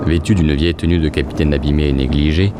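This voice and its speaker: A man's voice: rumbling low, fairly even intonation, fast talker, over music. A man narrating a scripted fantasy audio drama in a literary, ominous storytelling manner.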